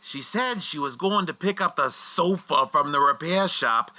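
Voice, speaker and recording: A man voices a taunting spirit guide for a radio comedy. His high voice is extremely bright and forceful, jumping around in exasperated mockery. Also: lively intonation, unhurried, heavily acted. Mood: mocking. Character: mocking, wisecracking ghost guide. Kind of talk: comic radio play acting.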